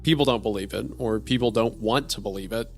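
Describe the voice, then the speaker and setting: A man's voice: on the low side, brisk. A man narrating a strange first-person horror-comedy story in a wry, conversational way. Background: faint music.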